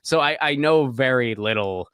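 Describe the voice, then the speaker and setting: Male co-host, lowish and crisp, self-deprecating stammerer, dry close mic. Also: lively intonation, normal speed, a bit bright, no room sound. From Pittsburgh. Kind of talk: casual chat.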